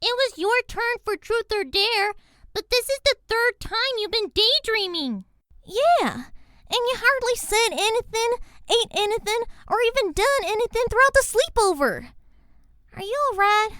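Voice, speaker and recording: A woman's voice: very high-pitched, animated, measured pace, a bit bright, dry recording, clean studio sound, heavily acted. A woman voicing a little pony filly, a concerned and chiding friend, in an audio fan story. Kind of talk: audio fan fiction character acting.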